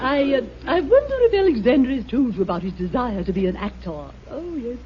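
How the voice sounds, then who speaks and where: A woman playing a fluttery, affected, effusive high school English teacher in a radio comedy. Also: very animated, brisk, a faint crowd. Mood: gushing. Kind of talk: 1940s radio comedy acting. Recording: muffled old radio recording, faint noise.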